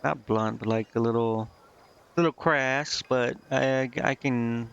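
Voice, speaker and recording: A man giving casual, informal let's-play commentary as a game streamer, talking in a halting way. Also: medium pitch, steady tone, gravelly, a bit bright, clear, resonant tone. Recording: dull lo-fi mic, music behind. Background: game music.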